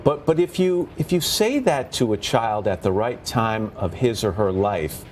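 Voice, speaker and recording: A man's voice: lowish voice, lively intonation, unhurried, darkish tone, a little hiss or hum. A middle-aged man hosting a TV interview, earnest, with frequent small restarts. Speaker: TV host.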